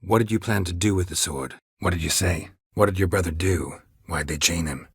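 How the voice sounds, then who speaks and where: Gruff middle-aged monster hunter, very husky rasp, low-pitched, terse, sardonic, stoic video game hero. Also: male voice, brisk, no room sound, studio quality, full character voice. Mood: dry, questioning. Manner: terse, clipped, deadpan. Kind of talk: video game character dialogue.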